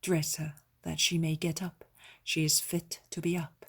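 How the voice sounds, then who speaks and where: A gentle, solemn holy man, as a woman reads him, speaking slowly in a soft, breathy voice a little louder than the narration. He is calm and sure, never hurried. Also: low voice, full character voice. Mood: kind, tired. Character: gentle, solemn male teacher and healer.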